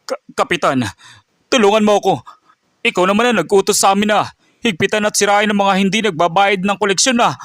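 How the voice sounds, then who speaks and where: A man's voice: high voice, a little fast, fairly bright tone, no echo, studio quality, pitched above the performer's own voice. A man playing a sobbing handcuffed watchman. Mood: sobbing, begging. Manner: pleading, breaking voice. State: crying. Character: cowardly male village watchman, handcuffed and sobbing.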